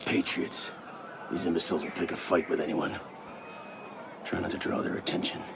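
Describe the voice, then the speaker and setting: A man playing a gruff, world-weary assassin mentor in a video game, curt and dismissive. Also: a bass voice, little pitch movement, normal speed, background noise. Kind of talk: dramatic video game dialogue. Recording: loud music and street crowd.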